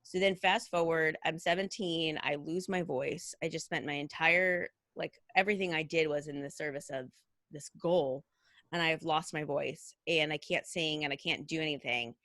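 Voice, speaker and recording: A woman in her thirties talks in a low voice with a bright, forceful edge. She chats loosely and lets "like" and "you know" run through her sentences. The sound is dry and close. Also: steady tone, moderate pace, no room sound. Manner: chatty, many fillers like "like" and "you know". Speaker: guest, costume designer. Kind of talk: casual conversational interview.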